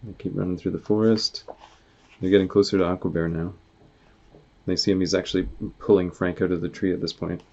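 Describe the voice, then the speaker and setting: A man's voice: low voice, level tone, fairly quick, gravelly, a little mellow. A man reading a comic story aloud in a casual way, acting out the dialogue and noises. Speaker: author reading their own comic aloud. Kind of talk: animated storybook read-aloud with sound effects. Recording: dull, muffled lo-fi sound.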